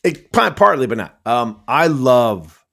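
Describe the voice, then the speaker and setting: A man's voice: mid-range voice, big pitch swings, measured pace, gravelly, bright, forceful tone. A man guesting on a podcast, chatting casually about movies, swearing freely and laughing at his own jokes.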